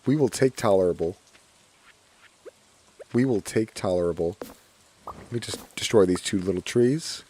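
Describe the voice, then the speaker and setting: Hoarse man chatting over gameplay. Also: on the low side, a little slow, long pauses, mellow, low-quality recording. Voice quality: voice cracking. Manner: chatty, repeats his own phrases. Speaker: gaming streamer. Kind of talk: casual gameplay commentary.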